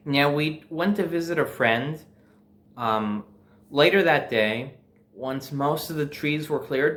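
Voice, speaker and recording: A man's voice: on the high side, a bit bright. A man telling a personal story in a casual, informal and emphatic way, with some swearing. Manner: informal, profanity-laced, emphatic. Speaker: storyteller host.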